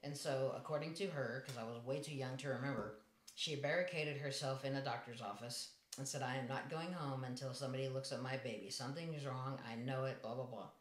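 A man in his forties with a high voice, calmly telling his life story as an interview guest in a small room. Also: brisk. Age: 40s. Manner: matter-of-fact, plain storytelling. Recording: echoey room.

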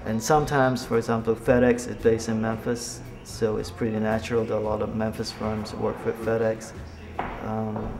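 A man in his forties, from Malaysia, talks about his work in a relaxed, halting interview manner. His voice sits low and stays fairly flat, filled with small pauses and fillers. Music plays clearly beneath him in the office hallway recording. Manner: relaxed, hesitant, many fillers. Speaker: architect. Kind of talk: casual interview. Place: bright hallway of an office building. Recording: music playing underneath.

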